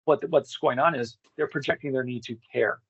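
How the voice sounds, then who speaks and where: A man's voice: medium pitch, a little fast, a bit bright, dull audio. A middle-aged man telling personal stories in a candid, rambling, profane way as an interview guest. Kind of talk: casual personal storytelling.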